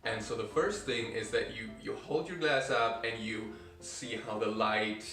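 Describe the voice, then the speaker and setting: A man vlogging, chatty and animated as he tells a funny story to the camera. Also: lowish voice, creaky, reverberant, distant mic, soft background music. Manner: chatty, animated, doing quick impressions of others. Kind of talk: casual storytelling vlog.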